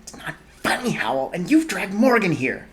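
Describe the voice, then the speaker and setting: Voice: medium pitch, very animated, moderate pace, pitched above the performer's own voice, close to an everyday voice. A reader voicing an exasperated young mother who snaps and hisses her retorts. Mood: fierce hiss. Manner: fierce, sharp retorts, hissed.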